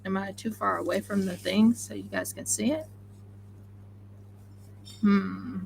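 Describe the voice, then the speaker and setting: A woman with an Oklahoma accent chatting excitably and rambling on a home craft live stream while she sews. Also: medium pitch, a little slow, vocal fry, slight background noise. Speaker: craft channel host. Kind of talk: casual live-stream chat while sewing.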